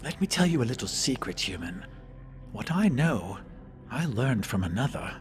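A man's voice: medium pitch, very animated, moderate pace, soft background music. A man voicing a floating jellyfish monster that rambles and repeats itself in an eager, fawning way. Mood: apologetic, secretive.

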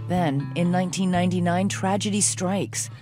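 A woman's voice: on the low side, normal speed, over music. A woman reading scripted news magazine narration in a dramatic storytelling manner.

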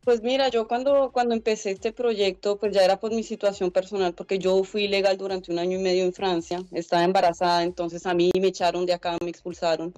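A woman's voice: mid-range voice, little pitch movement, brisk, few pauses, a little mellow, no room sound. A young Colombian woman answering interview questions over a video call from a room at home, earnest and explanatory, with many "eh" and "pues" fillers. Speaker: guest, head of a migrant organization. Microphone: laptop webcam on a video call.

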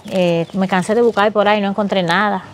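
A woman acting as a homeless woman who is hungry and tired, speaking softly and pleading. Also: medium pitch, steady tone, a little fast, a little hiss or hum, close to an everyday voice. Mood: weary. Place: outdoors, rural yard.

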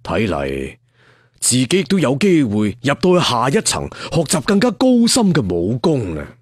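Male narrator playing a scornful martial-arts villain, male, sneering, rough husky voice, highly varied pitch, audiobook drama. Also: wildly swooping pitch, moderate pace, no room sound, studio quality. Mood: smug musing. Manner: sneering, contemptuous.

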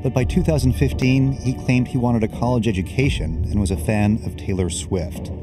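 A male reporter narrating a documentary voice-over in a measured, even manner. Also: mid-range voice. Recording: voice-over under music.

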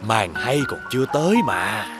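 Old male village guide acted by a man, southern Vietnamese accent, patient, kindly, cartoon dub, clear music bed. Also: on the low side, animated, lightly acted. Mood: patient, explaining. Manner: unhurried, kindly explaining. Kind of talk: animated cartoon dubbing.

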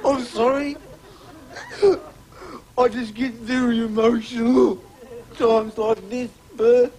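A woman playing a pompous, bossy but soft-hearted police sergeant in a broad sitcom style. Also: a little high, fairly even intonation, takes it slowly. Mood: tearful, gushing. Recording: noisy room with studio audience.

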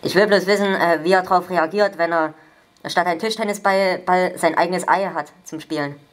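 A man voicing a polite, eccentric elderly prank caller in a stilted, formal, deadpan manner. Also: sits high, moderate pace. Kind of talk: improvised prank phone call. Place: small home kitchen, indoors.

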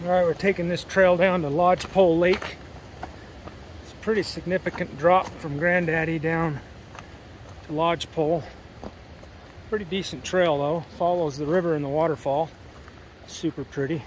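A middle-aged American man with a folksy, excitable manner, narrating his own backpacking and fishing trip outdoors by a mountain lake, recorded on a handheld camera. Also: fairly high pitch, moderate pace, long pauses, a little hiss or hum, low-quality recording. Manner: folksy, excitable, says "heck yeah". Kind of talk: casual outdoor vlog narration.

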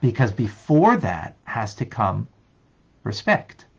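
A man's voice: medium pitch, sing-song, slow pace, creaky, slightly rough, dull audio. An older man, a rabbi, teaching an interactive online class, speaking reflectively with many restarts and fillers. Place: office with desk. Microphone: webcam on video call.

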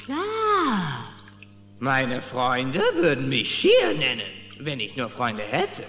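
An actor voicing a naive, good-natured, rather dim wildcat in a children's cartoon radio play. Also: male voice, mid-range voice, huge pitch swings, slow pace, sharp tone, heavily acted. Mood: goofy, pretending. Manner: naive, goofy. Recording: echoey hall reverb, faint music.

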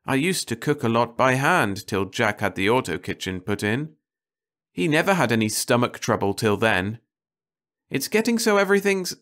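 A man's voice: mid-range voice, fairly bright tone, voice pushed up. A man voicing a tired, fussy, wistful woman traveller in an audiobook story, with a weary, complaining and vague manner. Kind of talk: audiobook character dialogue.

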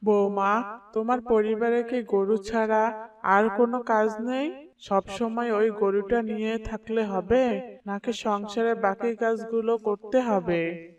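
A woman's voice: a little high, steady tone, slow, distant mic, lo-fi sound. A woman voicing an older mother-in-law in a cartoon story. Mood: scolding. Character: older Bengali mother-in-law, first scolding, later remorseful.

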